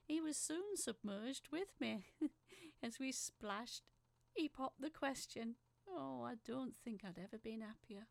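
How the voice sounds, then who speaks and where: A woman's voice: on the high side, gravelly, darkish tone, no echo. A woman playing a chatty, apologetic working-class factory worker, telling her story as a rambling, wistful letter in an audio drama. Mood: fond, nostalgic. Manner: rambling, self-deprecating, wistful.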